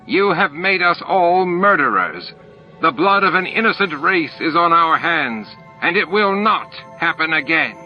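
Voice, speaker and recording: A man's voice: a little high, big pitch swings, unhurried, pitched above the performer's own voice. A man voicing a defiant, righteous female alien rebel with a snout, firm and accusing, in an audiobook. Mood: righteous anger. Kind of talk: audiobook character acting. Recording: dull lo-fi tape with music.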